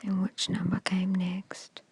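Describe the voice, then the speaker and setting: A woman guiding an ASMR meditation in a hushed, soothing, breathy whisper with short, measured phrases. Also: on the low side, monotone, no room sound. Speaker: hypnosis and meditation guide.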